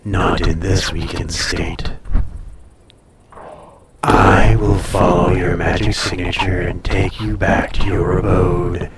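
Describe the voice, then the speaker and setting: A man voicing an ancient, haughty, possessive dragon in an intimate audio roleplay, speaking formally and deliberately with a breathy, softly menacing, seductive delivery. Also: low voice, little pitch movement, reverberant, far from the mic, heavy background noise. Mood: protective, commanding.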